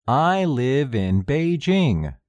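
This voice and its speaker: A man reading section titles and repeating test sentences for a recorded English lesson, slow and deliberate. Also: medium pitch, sing-song, darkish tone, dry recording, highs cut off. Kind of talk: scripted listening exercise.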